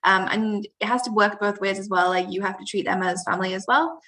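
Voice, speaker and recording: A woman's voice: medium pitch, brisk. A woman in her twenties from the UK, speaking casually on a panel with fillers. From United Kingdom. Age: 20s. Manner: relaxed, fillers "um" and "like". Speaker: au pair. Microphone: video call.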